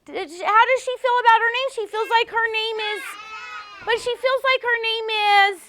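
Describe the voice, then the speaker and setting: A woman's voice: very high voice, moderate pace, pushed and bright, no room sound, studio quality. A woman teacher reading a storybook aloud to young children, asking simple questions and repeating key words with emphasis. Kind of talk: interactive storybook read-aloud lesson.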